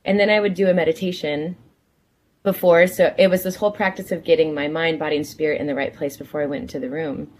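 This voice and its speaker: A woman's voice: deep voice, fairly even intonation, fast talker, a little mellow. A woman from California, a guest actor telling her story in an earnest, reflective way.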